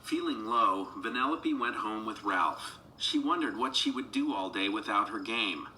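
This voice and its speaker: A man narrating a children's read-along storybook in an animated, dramatic storytelling manner. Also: on the low side, normal speed, a little hiss or hum, low-quality recording. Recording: music behind the voice.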